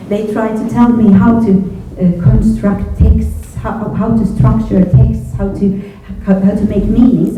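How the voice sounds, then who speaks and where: A middle-aged native Finnish woman with a Finnish accent, answering on a panel in a halting, thoughtful way and often repeating words. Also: mid-range voice. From Finland. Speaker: panelist, journalism educator. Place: echoey indoor event room with a panel. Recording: echoey room from far off, phone-like, faint noise.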